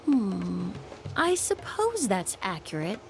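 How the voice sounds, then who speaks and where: A woman's voice: mid-range voice, huge pitch swings, slow pace, heavily acted. A woman playing a refined, courteous young perfumer in an anime game, speaking with formal, composed politeness. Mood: gracious, composed. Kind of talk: anime video game voice acting. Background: background music.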